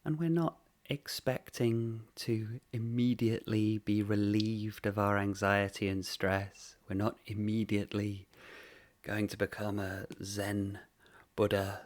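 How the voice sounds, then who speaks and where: A man leading a guided meditation in a gentle, unhurried way, speaking in short phrases with long pauses. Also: fairly low pitch.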